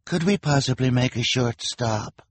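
A man's voice: mid-range voice, a little slow, no room sound, pitched above the performer's own voice, natural voice. A man voicing a timid female villain's assistant in a storybook, with a whiny, pleading manner.

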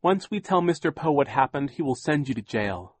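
A man's voice: a little high, expressive, fairly quick, no echo, pitched above the performer's own voice. A man voicing an earnest, indignant young boy arguing back. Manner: indignant, insistent.

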